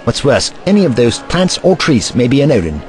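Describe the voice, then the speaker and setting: Guy doing a fretful eccentric time traveller, dramatic, super animated, audio drama with music under. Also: mid-range voice, brisk, natural voice. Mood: worried.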